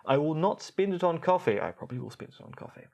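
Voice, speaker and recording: A man's voice: a little high, big pitch swings, fast, gravelly, a little mellow. A man co-hosting a podcast in casual banter, joking wryly with mock seriousness and wandering into asides. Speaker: podcast co-host. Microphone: lapel mic.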